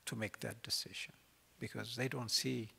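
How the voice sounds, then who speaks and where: Gravelly, husky man in a hall. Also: medium pitch, level tone, pushed and bright. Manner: earnest, halting with restarts. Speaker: keynote speaker. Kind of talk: lecture. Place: large college concert hall. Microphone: podium mic. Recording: long hall reverb.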